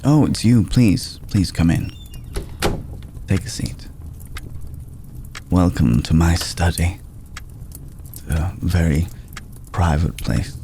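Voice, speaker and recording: A man playing an ancient, aristocratic male vampire in an ASMR roleplay, formal, courteous and brooding, with a soft, gravelly voice and deliberate pauses. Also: low voice, animated, slight background noise, full character voice. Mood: courteous, welcoming. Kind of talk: ASMR roleplay, slow intimate acting.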